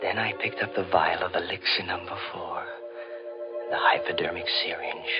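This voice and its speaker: Man acting a deep-voiced, menacing killer, old radio. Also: steady tone, moderate pace, close to an everyday voice. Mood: grim confiding. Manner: menacing, mock-polite, theatrical. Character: cold, greedy murderer narrating his own crime. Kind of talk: 1940s radio thriller acting. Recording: muffled 1940s radio recording with music.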